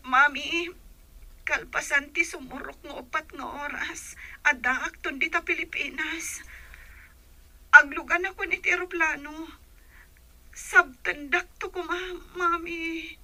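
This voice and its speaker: A woman's voice: high-pitched, fairly even intonation, a little slow, long pauses, bright, forceful tone, exaggerated voice. An actress playing a distressed, ailing young woman in a radio drama, plaintive and tearful, with a Filipino accent. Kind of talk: Ilocano radio drama acting.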